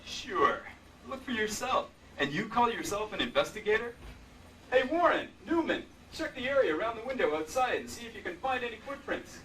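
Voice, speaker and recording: Obnoxious young male sergeant, played by an actor, badgering, sharp and swooping, radio-play stage recording. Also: sits high, very animated, normal speed, exaggerated voice. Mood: brusque, irritated. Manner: brusque, badgering. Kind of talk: old-time radio drama acting. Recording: distant live stage recording, faint noise.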